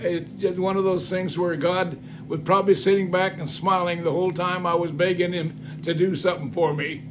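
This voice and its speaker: An older man tells a story from his own life in a loose, folksy way, stopping for plenty of ums and restarts. His voice sits high for a man. A steady hiss of noise runs under the recording. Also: fairly quick, few pauses. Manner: rambling, folksy, many fillers and restarts. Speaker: singer telling life stories. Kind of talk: casual spoken testimony.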